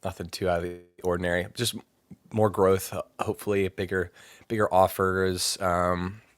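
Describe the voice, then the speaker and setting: Low-voiced guy chatting in an interview, easygoing, stops and restarts a lot, dry sound. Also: dry recording. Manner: relaxed, halting, frequent restarts. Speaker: guest vocalist. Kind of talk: casual interview chat.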